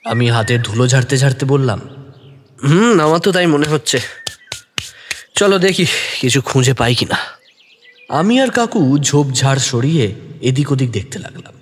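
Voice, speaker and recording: A man narrating a detective story in the first person, expressive and deliberate, also speaking the detective's lines. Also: mid-range voice, big-room sound. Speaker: narrator who also voices the young detective.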